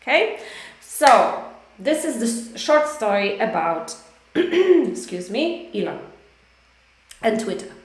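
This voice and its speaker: Slow-talking woman teacher, slightly hoarse. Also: fairly high pitch, sing-song, reverberant. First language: English. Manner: slow, hesitant, many fillers and restarts. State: hoarse, losing her voice. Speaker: English teacher. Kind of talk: live online English lesson.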